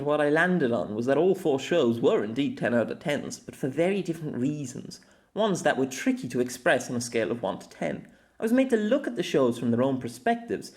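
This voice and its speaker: A man narrating a scripted opinion essay as host, in deliberate, formal phrasing with colloquial asides. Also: fairly high pitch, darkish tone. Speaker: host of an anime audio essay.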